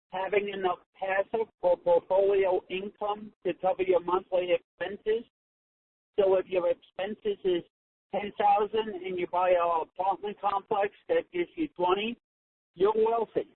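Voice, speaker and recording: A male caller giving a casual comment in a slightly halting way over the telephone. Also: sits high, creaky. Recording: tinny telephone line.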